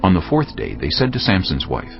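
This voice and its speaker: A deep, gravelly man reads scripture as a dramatic narrator. His delivery rises and falls expressively over prominent music. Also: wildly swooping pitch, brisk. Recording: dull lo-fi audio with music.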